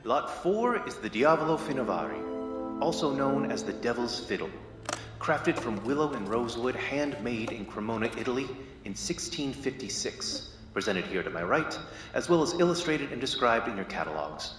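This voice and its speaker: An actor playing a brisk, formal male auctioneer calling bids in an audio drama, heard in a reverberant hall. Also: mid-range voice, big pitch swings, music in the background, natural voice. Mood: formal, grand. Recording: echoey hall, long reverb.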